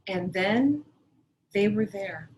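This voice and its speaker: A woman teacher giving deliberate instructions and reading a story aloud to her pupils. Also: medium pitch, lively intonation, darkish tone, slight background noise. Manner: deliberate, addressing pupils directly.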